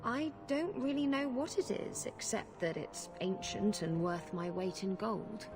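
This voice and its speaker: A woman's voice: mid-range voice, animated, unhurried. A woman playing a brash, unrepentant pirate captain in a video game, wry, flippant and defiant. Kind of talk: video game character dialogue. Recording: loud music behind the voice.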